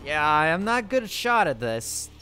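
A man voicing his character in a survival game roleplay, excitably thinking aloud to himself. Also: on the high side, slow pace, soft background music.

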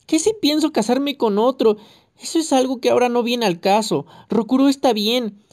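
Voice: expressive, normal speed, close to an everyday voice. A reader voicing a hysterical young mother who shrieks and scolds into a phone in an audiobook. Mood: shrill scolding. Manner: shrill, yelling, scolding.

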